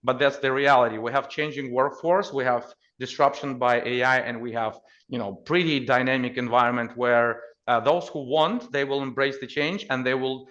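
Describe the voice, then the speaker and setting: A man with a Ukrainian accent giving conversational expert commentary as a podcast guest, in halting phrases with repeated words. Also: medium pitch, steady tone, fairly bright tone, muffled recording.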